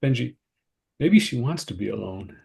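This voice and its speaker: An actor reading a reserved, uneasy American man at a screenplay table read, hesitant and understated, with a creaky voice. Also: medium pitch, animated, normal speed, warm tone. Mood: reluctant. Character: David, reserved, uneasy, self-deprecating American male cousin.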